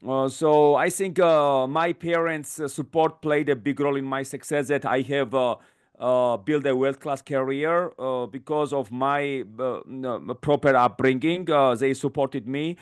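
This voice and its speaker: Georgian-born man, smooth resonant voice. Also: mid-range voice, steady tone. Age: 30s. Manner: fluent but halting, many fillers and restarts. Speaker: guest. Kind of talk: podcast interview.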